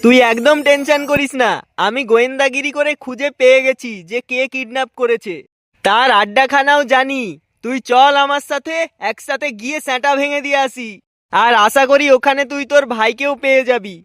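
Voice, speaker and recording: A high, confident boy's cartoon voice acted by a woman. The sidekick sounds assured and reassuring, like a young sleuth. The recording is very dry. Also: steady tone, a little fast, a bit bright, no room sound. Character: confident young boy sidekick playing detective. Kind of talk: amateur comic cartoon dubbing.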